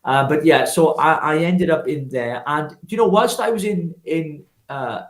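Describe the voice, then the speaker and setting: A man's voice: a little high, a little slow. Welsh man in his fifties, echoey room. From Wales. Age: 50s. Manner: earnest, personal storytelling with many restarts. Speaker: guest. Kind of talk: casual interview talk.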